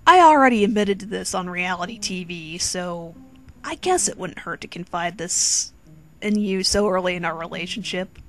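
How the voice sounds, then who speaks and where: A woman's voice: fairly low pitch, normal speed, voice pushed down, natural voice. A woman voicing a suave, courteous young Latin man, a touchy romantic charmer, in a dramatic fan fiction reading. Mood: earnest, glum.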